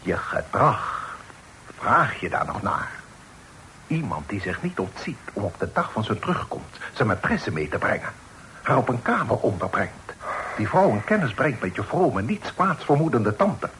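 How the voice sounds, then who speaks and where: A man's voice: lowish voice, big pitch swings, normal speed, pauses often, a bit bright. A man playing a stern, suspicious father and magistrate in a Dutch radio drama, speaking in a formal, reproachful way. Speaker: radio play actor. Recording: faintly noisy old radio play recording.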